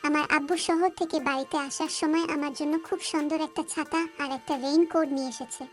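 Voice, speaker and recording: A woman's voice: high voice, fairly even intonation, brisk, soft background music, close to an everyday voice. A woman voicing a chatty, carefree young schoolgirl in a cartoon story.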